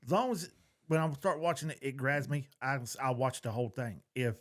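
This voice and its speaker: A man's voice: medium pitch, a little fast, fairly bright tone. A middle-aged man, co-hosting a podcast in casual chat, giving opinions in a plain-spoken, folksy way. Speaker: podcast co-host.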